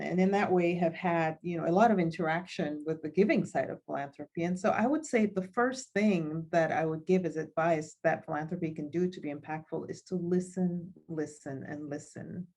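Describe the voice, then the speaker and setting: A woman's voice: fairly low pitch, fairly quick, few pauses, darkish tone. A woman in her forties from Cameroon, a nonprofit leader talking thoughtfully as a guest over a video call, with frequent ums. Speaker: guest, nonprofit school CEO. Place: home office with chalkboard behind. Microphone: video call laptop mic.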